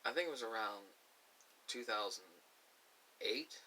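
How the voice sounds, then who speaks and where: Guy rambling through a personal story, bright clear-edged voice, keeps correcting himself, some hiss behind him. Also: on the low side, expressive, unhurried, rough recording. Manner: hesitant, self-correcting, repeating himself. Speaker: ASMR video creator.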